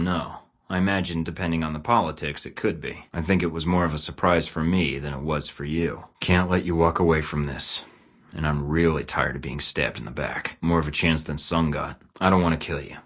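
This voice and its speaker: A deep-voiced, terse secret agent. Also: male voice, a bit bright. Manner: dry, clipped, matter-of-fact. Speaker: video game protagonist. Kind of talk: recorded game voice lines.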